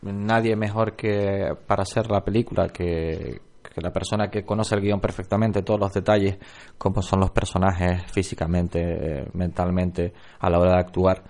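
Brief: deep-voiced man, film director, earnest interview guest. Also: level tone, fairly quick, few pauses, a little mellow. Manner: earnest, emphatic storytelling. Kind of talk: radio interview answers. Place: radio studio.

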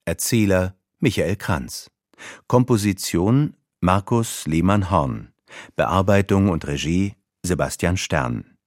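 Deep, slow male radio announcer. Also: expressive, no echo, studio quality. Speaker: announcer reading credits. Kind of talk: scripted radio credits announcement.

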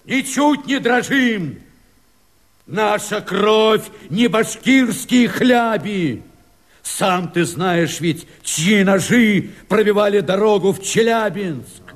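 An actor playing a proud, battle-hardened and anxious Cossack, declaiming verse vehemently with heavy theatrical stresses in a radio drama. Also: male voice, sits high, expressive, slow. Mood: defiant, proud.

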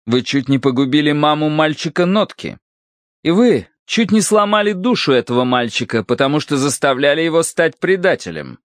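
Man doing a stern, high-pitched proper lady. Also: lively intonation, moderate pace, no echo, studio quality, natural voice. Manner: formal, severe. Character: stern, proper grown woman scolding in a space adventure.

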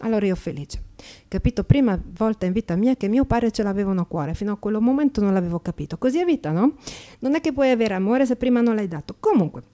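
Woman acting as Slovak immigrant prostitute, brash, cheerful, chatty, Slovak accent, broken grammar, mellow timbre, theatrical monologue. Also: medium pitch, animated, fast talker, natural voice. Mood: playful, animated. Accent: Slovak-accented Italian. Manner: chatty, broken grammar, frequent tag questions.

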